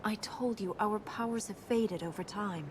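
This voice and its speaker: An actress playing a resolute, sharp-tongued young princess in a video game, brisk and dry in manner. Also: female voice, medium pitch, slight background noise, lightly acted. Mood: matter-of-fact. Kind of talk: video game voice acting, dramatic dialogue.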